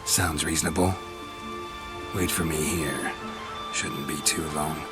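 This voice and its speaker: An actor playing a stoic, terse monster hunter for hire in a video game, with a deadpan delivery. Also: male voice, extremely low pitch, slow pace, loud music behind the voice. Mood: businesslike. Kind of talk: video game cutscene acting.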